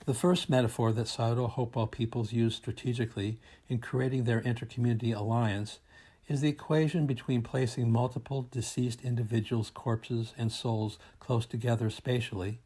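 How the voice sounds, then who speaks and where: A man reading a scripted academic presentation aloud in a formal, measured and deliberate manner. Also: medium pitch, dark tone, husky. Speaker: academic researcher presenting a paper.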